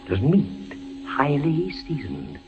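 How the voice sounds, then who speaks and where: An actor playing a fevered, terrified male prisoner of the Inquisition in a halting, theatrical 1940s radio style. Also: fairly high pitch, huge pitch swings, exaggerated voice. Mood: grim recounting. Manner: theatrical, halting, breaks off and repeats words. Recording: dull vintage 1940s radio recording with music.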